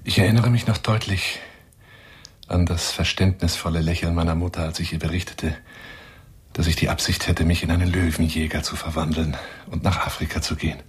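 A man's voice: sits low, steady tone, a little fast, slightly rough. A man playing a boastful, touchy lion hunter in a radio play monologue, speaking in a wheedling, pompous manner. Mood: reminiscing. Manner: wheedling, pompous, swinging into whispers. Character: boastful, touchy male lion hunter telling tall tales.

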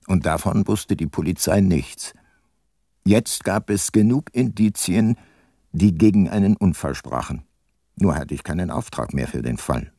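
An actor playing a brooding, probing private detective, narrating his case in a measured way in a radio crime drama. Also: sits low, warm tone, dry recording, studio quality.

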